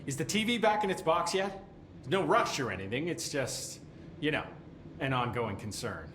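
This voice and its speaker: A man's voice: a little high, lively intonation, normal speed, a bit bright. An actor playing a middle-aged, nervous and deferential facility supervisor in a video game, hesitant and rambling as he talks. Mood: chatty, awkward. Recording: roomy echo, faint background noise.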